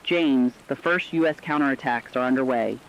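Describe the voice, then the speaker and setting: Guy doing a stern radio war reporter, declamatory, mid pitched, muffled lo-fi sound. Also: normal speed, slight background noise, natural voice. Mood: stern, resolute. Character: resolute male war correspondent on radio. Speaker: news correspondent. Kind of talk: scripted dramatic news narration.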